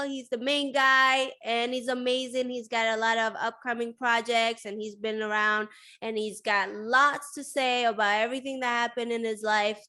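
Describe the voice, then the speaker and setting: Woman interview host, from Sweden, fairly high voice, bright tone, bubbly, filler-heavy. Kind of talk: casual interview.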